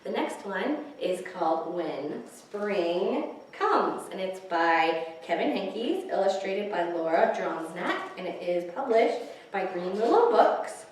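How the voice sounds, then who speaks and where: A woman reading picture books aloud to young children, pausing to explain the pictures and ask questions. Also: mid-range voice, very animated. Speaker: children's librarian, story time host. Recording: echoey, distant microphone, faint hiss.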